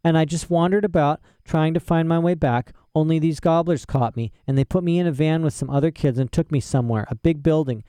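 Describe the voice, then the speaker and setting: A man's voice: a little high, steady tone, a little fast, hardly any pauses, dark tone, clean tone, dry recording, studio quality, pitched above the performer's own voice, natural voice. A man putting on a frightened young girl. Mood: timid. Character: frightened young girl, tearful but cunning.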